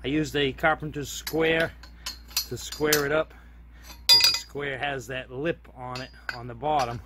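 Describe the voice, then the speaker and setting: A man's voice: mid-range voice, fairly even intonation, unhurried, very noisy. A man giving a plain-spoken, casual step-by-step narration of a hands-on DIY project as he films it. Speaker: DIY hobbyist video host.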